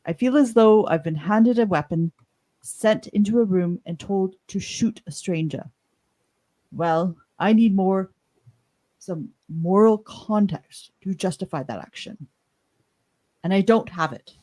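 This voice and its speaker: A woman plays a dignified male starship captain in a hobby radio drama. He is grave and principled, making earnest, deliberate speeches at a medium pitch. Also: mellow, close to an everyday voice. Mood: morally resolute. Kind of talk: amateur podcast radio play reenactment.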